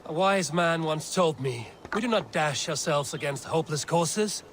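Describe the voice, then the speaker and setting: An actor playing a measured, strategic Viking war leader in a video game, solemn and deliberate in archaic phrasing. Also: male voice, a little high, a bit bright, slight background noise, natural voice. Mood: grave, counselling. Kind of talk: dramatic game cutscene acting. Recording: music behind the voice.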